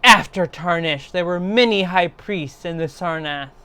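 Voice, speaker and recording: A woman reading a literary horror story aloud in a solemn, deliberate, dramatic storytelling style. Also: on the low side, bright, forceful tone, smooth, no echo.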